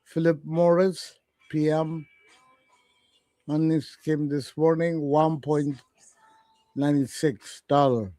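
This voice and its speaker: Slow-talking man in his forties, dry sound. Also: on the high side, steady tone, dark tone, dry recording. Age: 40s. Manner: slow, halting reading of earnings figures. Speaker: trading radio host. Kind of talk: live market commentary.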